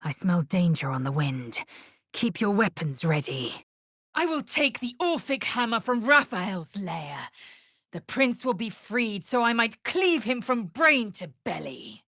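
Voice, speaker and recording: Husky fierce alien warrior woman for a video game, haughty and clipped, slow delivery w big pitch swings. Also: very animated, a bit bright, dry recording, clean studio sound, full character voice. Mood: stern, then furious. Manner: imperious, clipped, disdainful. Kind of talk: video game character voice lines.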